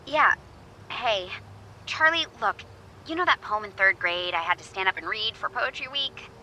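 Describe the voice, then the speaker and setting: A woman's voice: medium pitch, animated, moderate pace, pushed and bright, a little hiss or hum, low-quality recording. A woman playing an awkward, flustered young radio advice host in a video game, rambling, correcting herself and dryly sarcastic. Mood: nervous, defensive. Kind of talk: naturalistic video game voice acting.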